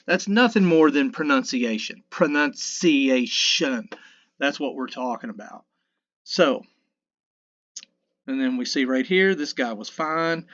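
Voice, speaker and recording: A man in his fifties with a low mid voice, casually talking through a mixing tutorial in a rambling, self-correcting, folksy way. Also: expressive, slow, a bit bright, lo-fi sound. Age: 50s. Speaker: sound engineer teaching mixing. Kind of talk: casual screen-recorded tutorial. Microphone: dynamic vocal mic.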